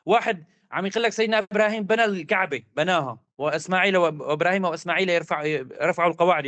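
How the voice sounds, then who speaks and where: A man's voice: high-pitched, fairly bright tone, no echo. A man arguing hard in a religious debate, insistent and repetitive. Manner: rapid, insistent, argumentative, repeats demands.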